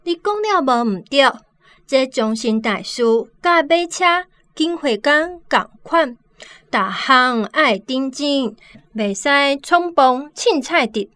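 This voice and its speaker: Composed young woman, high voice, slow admonishing delivery, Taiwanese accent, radio play. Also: lively intonation, fairly bright tone, no echo, full character voice. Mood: firm. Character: frank, composed young woman rebuking her suitor. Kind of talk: radio drama acting.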